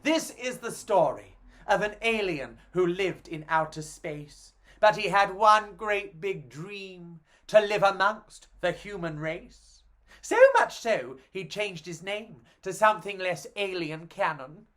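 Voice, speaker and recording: A theatrical, expressive storyteller reading to children in an animated storytime style, woman's voice by pitch. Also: slow pace.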